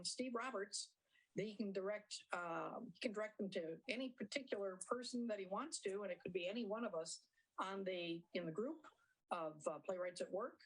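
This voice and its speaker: A woman answering interview questions conversationally as a theater director, rephrasing haltingly as she explains. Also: mid-range voice, poor audio.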